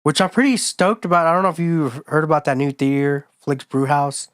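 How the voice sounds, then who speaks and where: A man co-hosting a podcast in casual chat, his voice hoarse from being sick, talking in a laid-back, rambling, slangy way. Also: fairly high pitch, fairly quick, fairly bright tone, no room sound, studio quality. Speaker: podcast co-host.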